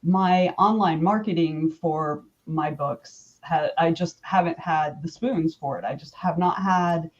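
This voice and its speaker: Slow-talking woman on lo-fi call. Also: low voice. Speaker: author panelist. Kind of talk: casual panel chat. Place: home library room.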